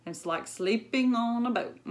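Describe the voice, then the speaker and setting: A woman's voice: fairly high pitch, slow pace. A woman, reading a story aloud expressively to children, with chatty asides. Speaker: elementary school librarian reading aloud. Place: small lamp-lit room at home.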